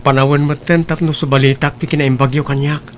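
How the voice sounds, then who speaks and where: A man's voice: fairly high pitch, flat delivery, fast, natural voice. A young man voicing a dutiful, polite nephew in a family drama, with faint hum behind. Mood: earnest. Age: young adult. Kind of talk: Ilocano radio-style family drama.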